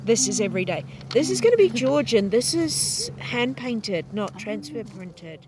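A woman's voice: fairly high pitch, sing-song, a little slow, a crowd in the background. A woman archaeologist informally explaining things to a group, recorded outdoors on a river shore. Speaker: archaeologist leading a tour. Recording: outdoor field recording with faint noise.

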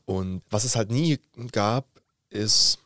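A young adult man with a slight cold, co-hosting a podcast in a relaxed, joking way with many fillers. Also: lowish voice, takes it slowly, dry recording. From Germany. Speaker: podcast co-host. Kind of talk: casual chat.

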